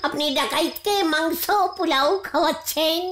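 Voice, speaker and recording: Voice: high voice, fairly even intonation, normal speed, pushed and bright, a little hiss or hum. A performer voicing a blustering, gullible, gluttonous cartoon bandit with exaggerated comic delivery. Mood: suspicious bluster. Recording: clear music behind the voice. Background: background music.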